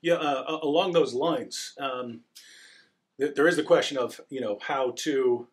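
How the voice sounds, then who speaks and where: A man's voice: mid-range voice, unhurried. A man hosting an interview, asking a long question hesitantly, with many fillers and restarts as he builds his point. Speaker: interviewer, channel host.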